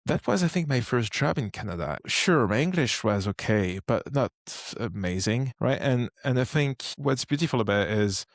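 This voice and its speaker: A man in his thirties with a French accent, speaking English as a second language, chatting as an interview guest. He talks in a relaxed way, thinking aloud with frequent restarts. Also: mid-range voice, normal speed, hardly any pauses, no room sound, studio quality. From France. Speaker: guest, startup co-founder. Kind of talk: casual interview conversation.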